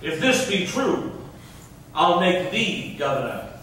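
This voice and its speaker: An actor playing a proud, shrewd military commander in classical verse on stage, measured and declamatory. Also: male voice, high-pitched, sing-song, a bit bright, full character voice. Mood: imperious, curious. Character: proud conquering male commander, shrewd and imperious. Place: large indoor auditorium stage. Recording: echoey, noisy theatre from far off.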